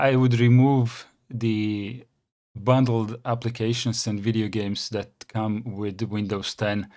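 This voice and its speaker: A man's voice: fairly low pitch, darkish tone. A man with a Croatian accent, hosting a casual reaction stream, talking hesitantly with many fillers and restarts. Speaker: channel host reacting to a video.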